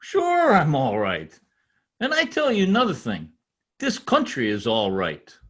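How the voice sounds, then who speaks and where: An earnest immigrant tradesman from a radio play, with a foreign accent and halting simple English. The voice is husky and high, swinging widely with proud excitement, and takes its time. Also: full character voice. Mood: elated, proud. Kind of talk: old-time radio comedy acting.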